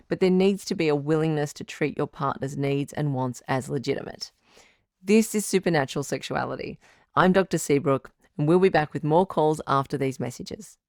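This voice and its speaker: A woman playing a calm, firm relationship advice doctor hosting a radio call-in drama, measured and probing. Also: low-pitched, no room sound, clean studio sound. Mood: measured, authoritative.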